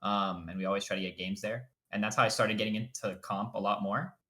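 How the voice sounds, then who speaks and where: A young man, a college esports player, chatting casually and excitably as a guest on a video call. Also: on the low side, fairly quick. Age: young adult. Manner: animated, excitable storyteller. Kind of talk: casual chat.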